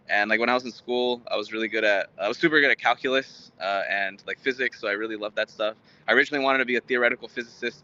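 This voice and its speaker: Male, American, native Spanish speaker, twenties. Interview guest with a sharp, bright tone and halting delivery, dull lo-fi recording. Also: lowish voice. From Houston, Texas, United States. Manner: halting, rambling, frequent stammered repeats. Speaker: guest, tech startup founder. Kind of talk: conversational interview answers.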